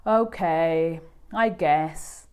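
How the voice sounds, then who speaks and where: A woman voicing a brash, excitable schoolboy from a picture book in a blunt, exclamatory manner. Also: deep voice, very slow, clear, resonant tone. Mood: reluctant.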